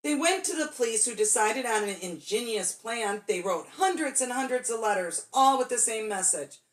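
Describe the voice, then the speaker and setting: A woman's voice: fairly high pitch, animated, normal speed. A woman storyteller reading a children's bedtime story expressively, drawing out key words for dramatic emphasis.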